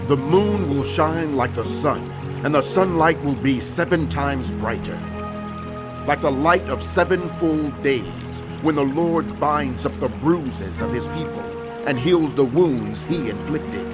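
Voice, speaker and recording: An actor playing a hopeful herald of healing in a dramatized scripture reading, solemn and lyrical. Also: male voice, a little high, unhurried, close to an everyday voice. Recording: very loud music behind voice.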